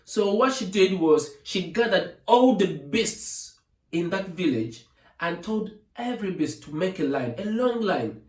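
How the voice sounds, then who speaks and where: A man with a Ugandan accent tells a folktale informally as a storyteller, pausing to explain and translate as he goes. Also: high-pitched, lively intonation, a little mellow. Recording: echoey room, distant from the mic.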